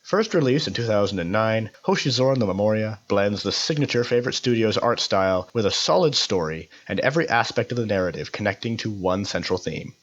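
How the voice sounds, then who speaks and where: A man reads a scripted review for a podcast in a measured, formally worded way. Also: on the low side, expressive. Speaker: podcast contributor giving a review. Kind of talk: scripted narration, visual novel review.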